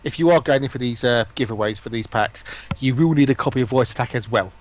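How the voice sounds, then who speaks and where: A man's voice: mid-range voice, quick pace, a little hiss or hum. A man joining a live stream as a guest, chatting in casual banter.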